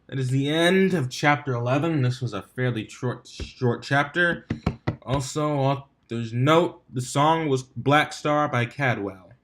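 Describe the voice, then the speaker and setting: A man's voice: mid-range voice, unhurried, fairly bright tone, a little hiss or hum. Man reading a story aloud. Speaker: fan fiction reader, narrator. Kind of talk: storybook narration.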